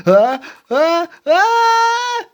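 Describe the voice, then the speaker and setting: A woman's voice: very high-pitched, very animated, voice pushed up, exaggerated voice. Woman voicing a melodramatic, anxious elephant. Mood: nervous, struggling. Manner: halting, drawn-out, dramatic delivery. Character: anxious, dramatic male elephant with sneezing fits. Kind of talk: children's picture-book read-aloud.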